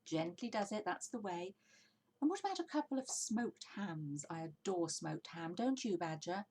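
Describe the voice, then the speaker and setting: A woman's voice: on the low side, lively intonation, normal speed, warm tone, breathy edge. A woman voicing a confident, bossy, jolly father fox in a children's storybook, exclaiming and giving orders. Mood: gleeful, bossy. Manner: exclamatory, commanding, persuasive. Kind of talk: children's storybook character reading.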